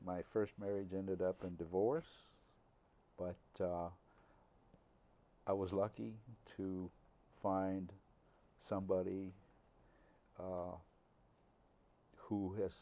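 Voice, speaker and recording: An older man answering interview questions slowly and haltingly, pausing often with fillers. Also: low-pitched, warm tone. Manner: slow, halting, with many uh fillers.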